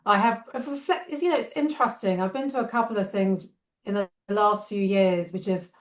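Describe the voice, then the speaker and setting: A woman's voice: mid-range voice, normal speed, roomy sound. Reflective London woman in her fifties. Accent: London English with a slight Northern edge. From England. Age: 50s. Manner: thoughtful, speaks in long reflective runs. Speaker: charity staff guest. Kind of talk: reflective interview talk.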